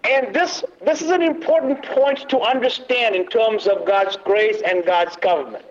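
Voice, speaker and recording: Man, high voice, church workshop teacher, halting with fillers, dull muffled recording. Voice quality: loud but not booming. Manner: halting, many fillers, quizzes the audience. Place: meeting room, away from the podium.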